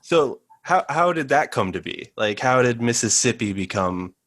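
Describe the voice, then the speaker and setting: A man hosting a podcast interview who asks halting, friendly questions and says he is a big fan. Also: mid-range voice, animated, a bit bright, no room sound, studio quality. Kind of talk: casual interview.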